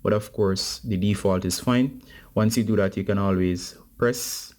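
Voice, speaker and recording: A man with a low-pitched voice hosts a tech tutorial. He talks viewers through each step in an informal, instructional way, as if chatting to a group of guys. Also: fairly even intonation, a little slow, a little mellow. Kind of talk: casual step-by-step phone tutorial.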